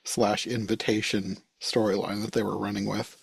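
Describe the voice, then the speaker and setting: A man with a somewhat low voice hosts a casual review conversation. He talks informally as a podcast host. The recording is very dry, with no room sound. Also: flat delivery, normal speed, vocal fry, slightly rough, no echo.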